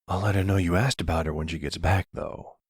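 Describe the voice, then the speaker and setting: Man playing a deep, smug young mafia boss. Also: steady tone, slightly rough, dry recording, studio quality. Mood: smug, playful. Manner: teasing, unhurried, smug. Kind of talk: ASMR boyfriend roleplay acting.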